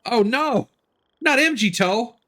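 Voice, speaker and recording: Man putting on a high-pitched little fairy girl. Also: expressive, slow pace, pushed and bright, full character voice. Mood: exasperated. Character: small, excitable, caring fairy girl companion. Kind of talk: reading game dialogue in character.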